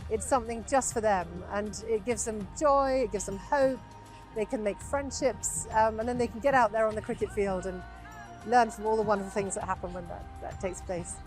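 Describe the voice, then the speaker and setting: A woman's voice: medium pitch, fairly even intonation, moderate pace, music in the background, rough recording. A woman speaking earnestly and steadily in a documentary interview about a charity's work.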